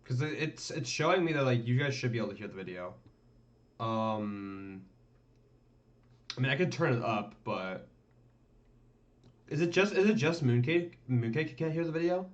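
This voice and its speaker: A man's voice: medium pitch, lively intonation, normal speed, long pauses. A man live-streaming his commentary on tournament match footage, rambling and thinking aloud, often tagging his remarks with "right?". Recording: dull lo-fi stream audio.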